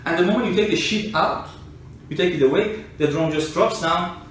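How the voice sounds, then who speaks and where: Echoey, higher-voiced man explaining informally. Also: moderate pace, a bit bright. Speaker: explainer, self-described engineer and scientist. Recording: echoey room, distant mic, faint noise.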